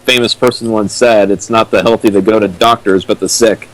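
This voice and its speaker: A man's voice: on the low side, fairly even intonation, fairly quick, low-quality recording. A man co-hosting with dry, sardonic critical commentary. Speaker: co-host commenting on fan fiction.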